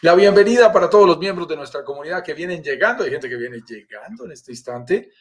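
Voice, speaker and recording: A man's voice: on the high side, animated, fast. A man with a Colombian accent, presenting a live stream over a video call in an enthusiastic, welcoming and persuasive way. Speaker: live stream host, sales director.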